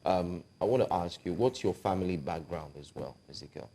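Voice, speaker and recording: A man with a low, dark voice puts questions to the young guests. He restarts and fills his sentences with ums. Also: expressive, brisk. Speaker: interview co-host.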